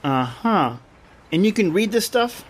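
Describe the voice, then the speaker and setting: A man's voice: high-pitched, sing-song, measured pace, heavily acted. A man playing an eager, naive sidekick in an old-time radio drama, blunt, folksy and full of questions. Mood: curious. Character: eager, naive, excitable male treasure-curious sidekick.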